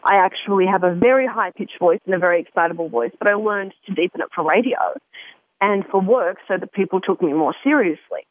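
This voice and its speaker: A chatty woman hosts a radio talk show. She repeats and restarts her words as she goes, at a middling pitch. The sound is narrow and phone-like, with a dry, close feel. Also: a little fast, dry recording.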